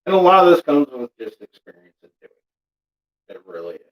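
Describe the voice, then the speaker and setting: A man's voice: a little high, big pitch swings, fast talker, lo-fi sound. A young man with a Texan accent, chatting casually on a vlog in a teasing, laid-back way. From Texas. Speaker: vlogger. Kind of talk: casual chat.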